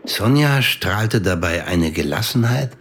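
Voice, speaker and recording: A man's voice: fairly low pitch, expressive, a little slow, a little hiss or hum. A man reading scripted literary narration for an audio drama in a sober, composed way.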